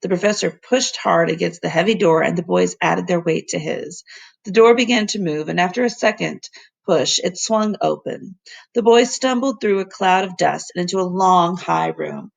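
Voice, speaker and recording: A woman teacher reading a children's story aloud in an expressive way, stumbling now and then and commenting aside to her listeners. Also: lowish voice, normal speed, creaky.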